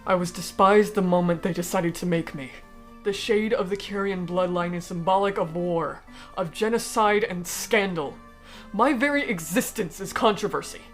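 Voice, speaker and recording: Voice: medium pitch, faint music behind, natural voice. A performer in a fan dub voicing a cold, aristocratic alien noble who speaks formally and eloquently, bitter yet persuasive. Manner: formal, eloquent, measured speech. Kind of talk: fan-dub voice acting of comic dialogue.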